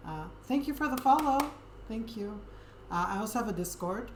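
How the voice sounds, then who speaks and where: A woman streamer chatting casually with her viewers in a soft, friendly, hesitant way, with frequent ums. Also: a little high, normal speed. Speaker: Twitch streamer. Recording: echoey room with steady background noise.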